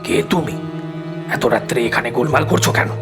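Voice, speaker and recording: Guy doing a stern middle-aged householder, gruff creaky voice, booming n suspicious, spooky audio story, music loud behind him. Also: normal speed, lightly acted. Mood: irritated, stern. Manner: brusque, demanding questioning. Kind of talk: audio horror story acting.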